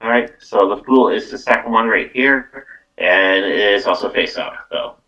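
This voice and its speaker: A man's voice: on the low side, moderate pace, sharp tone, dull audio. A man hosting a live psychic card reading in casual, rambling talk, often repeating words and tagging phrases with "though". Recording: distant from the microphone.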